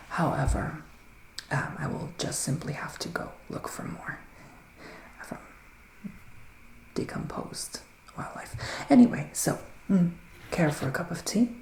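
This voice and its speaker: A man playing a gentle, theatrical male witch psychic in an ASMR roleplay, speaking in a breathy, sighing, partly whispered hush. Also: on the high side, echoey room, rough recording. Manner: breathy, sighing, hushed, dramatic. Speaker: ASMR performer.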